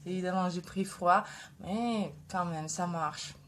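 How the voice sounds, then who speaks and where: A woman's voice: on the low side, measured pace, a bit bright, slight background noise. A woman in her twenties, a native Russian speaker, talks hesitantly with many fillers while she has a head cold. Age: 20s. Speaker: vlogger. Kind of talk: casual video talk.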